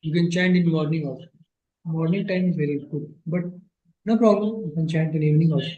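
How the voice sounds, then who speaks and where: A man teaching Sanskrit grammar in an online class, patient and repeating phrases slowly, his English not his first language. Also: on the high side, echoey room, phone-quality sound.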